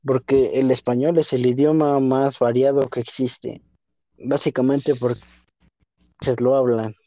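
A man's voice: mid-range voice, fairly even intonation, unhurried, warm tone, no room sound. A young man, co-hosting a podcast in a rambling, casual way and leaning on the same filler word. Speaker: podcast co-host. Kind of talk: casual chat.

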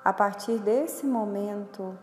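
A Brazilian woman narrating a guided meditation in a measured, instructional way, with long pauses between phrases. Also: mid-range voice. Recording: echoey with long reverb, background music. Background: music.

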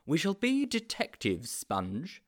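A self-important storybook detective hero, played by a man with grand ideas of adventure. The voice is pompous and emphatic, leaping up and down in pitch as it explains. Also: big pitch swings, normal speed. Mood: grandly excited. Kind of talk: storybook character voice.

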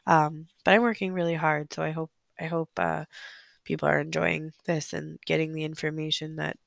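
A sick, hoarse woman hosting a solo true-crime podcast, chatty and apologetic, filling pauses with ums. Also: deep voice, level tone, no room sound. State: sick, hoarse, losing her voice. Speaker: podcast host. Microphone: close podcast microphone.

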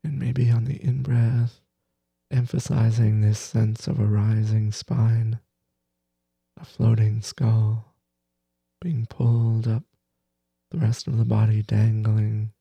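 A man leading a guided meditation as teacher, gentle and soothing, with soft breathy phrases that trail off between long pauses. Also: fairly low pitch, completely flat delivery, dry recording, lo-fi sound. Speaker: meditation teacher, podcast host.

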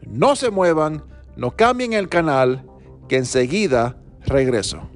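A male host opens a live show, speaking slowly and deliberately as he introduces his guest. His voice sits a little high, and faint music plays underneath. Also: animated.

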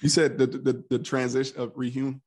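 Guest spot: earnest man, mid pitch, storytelling with many restarts. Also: moderate pace. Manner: earnest, animated storyteller who voices his past self in quotes; frequent restarts and repeated words. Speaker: podcast guest. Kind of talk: casual conversational interview.

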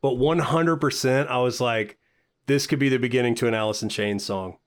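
A man co-hosting a casual music podcast, speaking with excitement, swearing casually and saying "like" constantly. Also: mid-range voice, moderate pace. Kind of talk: casual chat.

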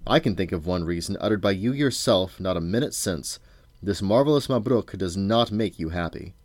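A man's voice: deep voice, lively intonation, moderate pace, darkish tone, no room sound. A man voicing an eager, desperate magician in a storybook, with a florid, theatrical, declamatory manner.

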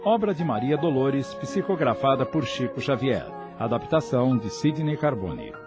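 Male announcer, declamatory and lively, over loud music. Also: mid-range voice, moderate pace. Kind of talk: title announcement.

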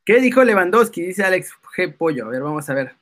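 Excitable, high-voiced Mexican man, bright tone. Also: a little fast. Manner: excitable, playful, exclamatory. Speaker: live stream co-host. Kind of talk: casual live-stream banter.

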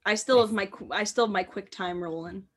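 A young woman co-hosting a podcast, chatting casually with jokey quick asides. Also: mid-range voice, a little hiss or hum. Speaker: podcast co-host.

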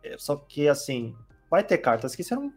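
A man chatting calmly on a podcast, explaining card game rules with many fillers. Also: a little high, a little fast, soft background music. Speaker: card game player guest. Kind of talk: casual podcast chat.

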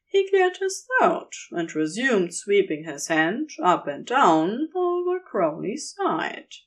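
A woman's voice: mid-range voice, big pitch swings, slow. A woman reading scripted fiction as the narrator of an audio story.

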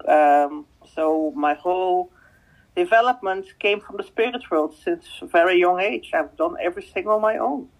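A woman in her fifties from Belgium, a native Dutch speaker with a Dutch-speaking Belgian accent, answering interview questions conversationally and haltingly, often repeating words. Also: on the low side, low-quality recording. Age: 50s. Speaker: guest, spiritual medium.

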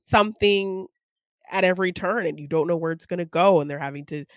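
A woman's voice: on the low side, brisk, no echo, studio quality. A woman co-hosting a film podcast, talking casually and conversationally.

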